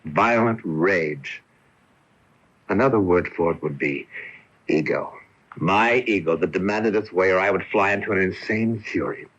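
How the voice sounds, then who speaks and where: An actor playing the remorseful ghost of a violent, egotistical man in a radio drama, husky and deliberate, speaking in dramatic confession. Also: low-pitched, a bit bright. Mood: brooding, intense. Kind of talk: 1980 radio drama acting. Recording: dull AM radio airing from 1980.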